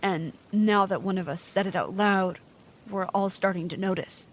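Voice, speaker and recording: Anxious, earnest woman, acted, faint rumble behind. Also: steady tone, normal speed, natural voice. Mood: uneasy, earnest. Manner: earnest, hesitant, questioning. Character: anxious, questioning young female traveler, earnest worrier. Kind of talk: naturalistic audio drama acting.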